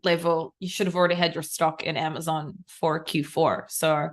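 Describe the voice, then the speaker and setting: Woman, New Zealand accent, lowish voice, casual podcast host. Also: little pitch movement, normal speed. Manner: chatty, informal, thinks aloud. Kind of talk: casual business chat.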